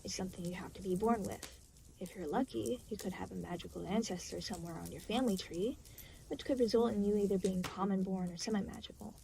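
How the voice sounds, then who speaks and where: A woman's voice: mid-range voice, quick pace, creaky, warm tone, a little hiss or hum, lightly acted, low-quality recording. A woman playing an aloof, reluctant, weary demon guide in an intimate audio roleplay, speaking in a dry, matter-of-fact, hesitant way. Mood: dry explaining. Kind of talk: intimate scripted audio roleplay spoken to the listener.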